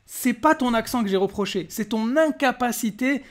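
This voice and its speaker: A man's voice: extremely high pitch, expressive, normal speed. A man hosting a polemical commentary, talking in a mocking, combative and emphatic way. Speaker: YouTube host.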